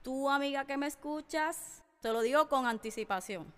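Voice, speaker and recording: A woman's voice: sits high, moderate pace, a bit bright. A woman in her thirties with a Puerto Rican accent, hosting a personal podcast monologue and addressing listeners directly and emphatically. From Puerto Rico. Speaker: podcast host. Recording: long reverberant hall echo.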